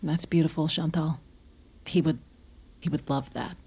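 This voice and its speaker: An actress playing a fragile, haunted, worn-out mother in an audio drama, halting and emotional. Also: deep voice, fairly even intonation, a little mellow, husky, exaggerated voice. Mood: moved, glad.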